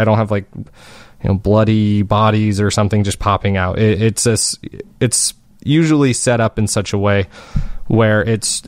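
A man's voice: on the low side, no echo. A man speaking as an interview guest, explaining his creative work in an earnest, reflective, conversational way. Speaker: guest, fiction podcast creator.

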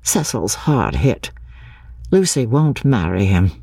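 Audiobook reader doing an eager young english lad, earnest, hurried and halting, pitch jumping around. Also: very animated, creaky, mellow, breathy edge, no room sound, studio quality, full character voice. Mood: confiding.

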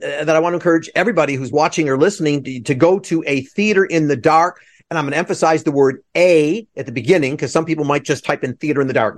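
A man hosting an interview podcast over a video call, talking in an effusive, rambling, digressive way. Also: a little high. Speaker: podcast host.

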